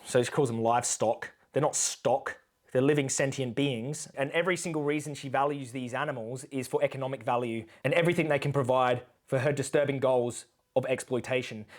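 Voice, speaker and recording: A man giving unscripted reaction commentary as an animal rights advocate, earnest and admonishing, often posing rhetorical questions. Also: medium pitch.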